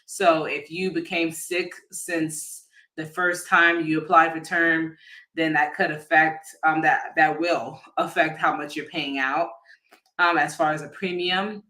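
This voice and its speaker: A man with a fairly high, very bright voice explains things in an informal live-talk style. His delivery is casual and halting, with sentences often restarted and reworded as he goes. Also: level tone. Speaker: insurance agent giving a financial education talk.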